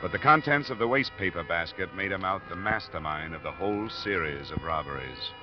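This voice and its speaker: A man playing a steady, matter-of-fact investigator in a 1950s radio drama, with a dry, measured, plain-spoken delivery. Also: low voice, over music. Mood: dry narration. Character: steady, matter-of-fact investigator, narrator of his own case. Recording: muffled old radio broadcast recording.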